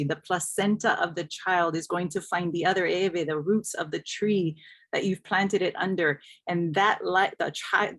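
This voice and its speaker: A woman from Hawaii speaking as a scholar on a panel, earnest and reflective, repeating phrases for emphasis. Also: fairly low pitch. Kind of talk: reflective panel talk with storytelling.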